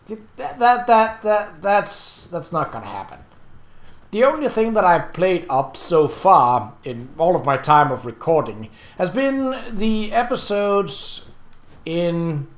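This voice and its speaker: A man's voice: fairly high pitch, bright, forceful tone, reverberant. A man casually commenting on his game as he plays, chatty and easily distracted, thinking aloud. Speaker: Let's Play host.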